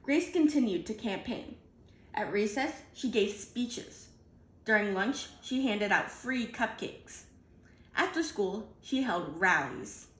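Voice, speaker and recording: A woman reading a children's picture book aloud in an animated, deliberate storytelling manner. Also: mid-range voice, roomy sound. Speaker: storybook reader.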